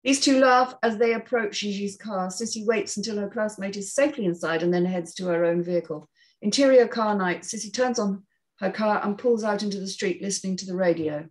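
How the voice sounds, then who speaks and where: A woman's voice: medium pitch, a little fast, low-quality recording. Woman reading screenplay stage directions. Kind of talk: scripted narration at a screenplay table read.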